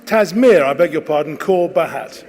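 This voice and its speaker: Male ceremony announcer, notably high voice, formal and projected, long hall reverb, loud crowd. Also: expressive, moderate pace. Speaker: graduation ceremony presenter reading names. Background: loud audience noise.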